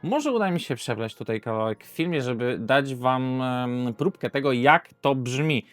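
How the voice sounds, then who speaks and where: A man's voice: mid-range voice, lively intonation, normal speed. Man, video presenter, swooping intonation, hesitant unscripted delivery, faint music behind. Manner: hesitant, self-correcting, throat-clearing. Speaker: board game reviewer and host.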